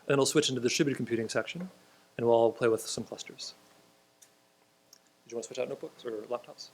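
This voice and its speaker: A man's voice: medium pitch, fast talker. A man with an ordinary mid-range voice leads a hands-on programming tutorial at a conference. He explains ideas step by step and restates the audience's questions before answering them. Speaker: tutorial instructor.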